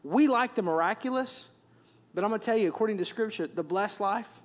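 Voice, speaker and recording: High-voiced pastor with Wisconsin accent. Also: male voice, a little fast. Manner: conversational, joking asides, repeats words. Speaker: senior pastor. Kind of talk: sermon. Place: large church auditorium. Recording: long hall reverb.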